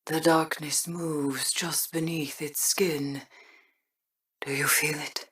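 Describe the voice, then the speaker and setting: An actress voicing an aloof, cryptic ancient ship mind in a video game, speaking in a hushed way. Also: female voice, low-pitched, level tone, slow pace, distant mic.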